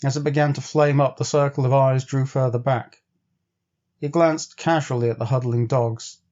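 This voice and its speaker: A man's voice: mid-range voice, fairly even intonation, moderate pace, darkish tone. Man narrating a story, slightly dull recording. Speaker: storyteller, actor. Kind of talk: dramatic audiobook narration.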